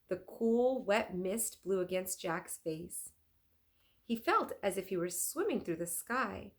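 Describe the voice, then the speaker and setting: A woman teacher reading a children's story aloud in an expressive, deliberate manner. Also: mid-range voice. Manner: deliberate, clearly phrased, animated.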